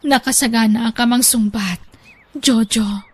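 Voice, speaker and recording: A woman's voice: fairly high pitch, steady tone, a little slow, husky. A woman playing a sweet, sincere young woman in love in a radio drama, speaking sentimentally with a Filipino accent. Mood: apologetic, anxious.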